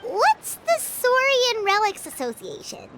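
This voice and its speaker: A woman voicing a tiny, chirpy, nosy fairy companion in a cartoonish video game, squeaky and full of exclamations. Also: wildly swooping pitch, a little slow, fairly bright tone, slight background noise, heavily acted. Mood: concerned, puzzled. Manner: exclaiming, inquisitive, refers to self in third person.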